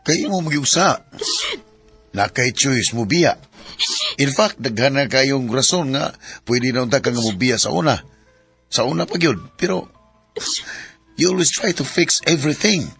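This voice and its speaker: A man's voice: medium pitch, lively intonation, measured pace, gravelly, pauses often, fairly bright tone, breathy edge, faint music behind, exaggerated voice. A man playing a devoted male best friend in a radio drama, earnest and pleading. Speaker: best friend turned suitor.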